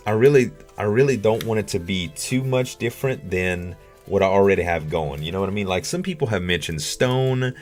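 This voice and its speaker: A man giving casual gaming commentary as a video host, rambling and thinking aloud. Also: deep voice.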